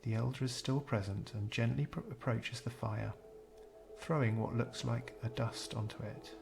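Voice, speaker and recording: A man narrating a scripted guided meditation in a measured, soothing, gentle way, pausing between phrases. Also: mid-range voice, steady tone, creaky, over music.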